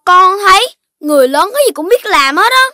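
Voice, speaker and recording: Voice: very high voice, very animated, a little fast, pushed and bright, no room sound, clean studio sound, full character voice. An actor voicing an eager, playful, outspoken little child in a children's cartoon. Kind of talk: children's animated cartoon voice acting. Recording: music under the voice.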